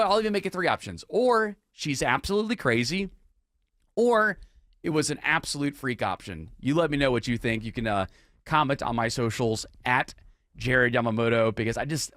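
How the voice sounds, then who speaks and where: A man hosting a live talk radio show with a hoarse, failing voice, stopping often to clear his throat and restart his sentences. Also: medium pitch, a bit bright, no room sound, studio quality. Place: radio studio. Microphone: studio microphone.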